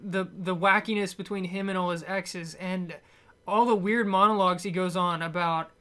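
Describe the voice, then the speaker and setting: A woman's voice: fairly low pitch, steady tone, moderate pace, a bit bright. A woman giving a casual, rambling film review in a blunt, opinionated way. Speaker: movie reviewer.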